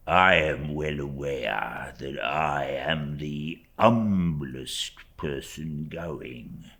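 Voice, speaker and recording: A man voicing an oily, falsely humble young law clerk in an audiobook, with slow, obsequious phrases that sink into a hush. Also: very deep voice, pitched below the performer's own voice, heavily acted. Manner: slow, obsequious, drawn-out, hushed phrase endings. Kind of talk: audiobook character acting.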